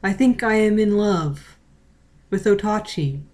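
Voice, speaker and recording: A woman's voice: mid-range voice, animated, a little slow, darkish tone, close to an everyday voice. A woman reading aloud and voicing a lovestruck young girl who muses in a dreamy way.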